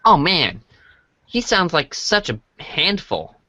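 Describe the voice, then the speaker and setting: Earnest, excitable boy voiced by an amateur, forceful bright tone, lively swings, chatty reader's theater delivery. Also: medium pitch, slow, full character voice. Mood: sympathetic. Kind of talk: amateur reader's theater, reading chat messages.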